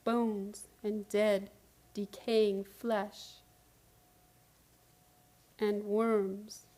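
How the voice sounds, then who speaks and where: A woman's voice: mid-range voice, level tone, extremely slow, warm tone. An actress playing a distraught, haunted woman pleading in an old-time radio play. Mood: haunted, morbid.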